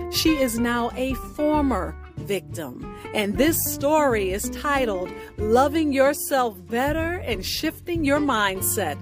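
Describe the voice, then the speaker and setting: A woman hosting a podcast, reading announcements in a deliberate, carefully enunciated way. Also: a little high. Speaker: podcast host. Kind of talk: scripted show outro, announcing. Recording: music bed behind the voice. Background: guitar music.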